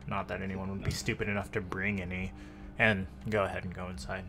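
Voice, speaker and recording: Man putting on a low-voiced street-tough guard. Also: flat delivery, a little fast, music in the background. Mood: businesslike. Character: gate guard at an illegal fight club, street-tough, familiar.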